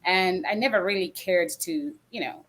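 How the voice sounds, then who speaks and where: A woman's voice: fairly low pitch, normal speed, fairly bright tone. A woman podcast guest who immigrated to Canada, reflecting on her own life in conversation. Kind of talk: reflective personal storytelling.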